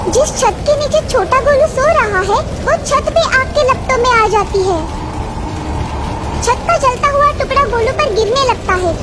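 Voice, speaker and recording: A woman narrating a scripted children's cartoon story. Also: very high voice.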